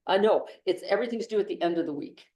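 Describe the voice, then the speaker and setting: A woman's voice: mid-range voice, expressive, fast, mellow. A woman instructor giving a conversational classroom lecture, often restarting sentences and checking in with "okay". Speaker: engineering instructor. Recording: echoey classroom, from far off.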